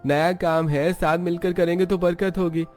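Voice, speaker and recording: A man voicing a cheerful, persuasive young husband and son in an animated family story. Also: high-pitched, normal speed, faint music behind, voice pushed up, natural voice. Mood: coaxing. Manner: upbeat, persuasive.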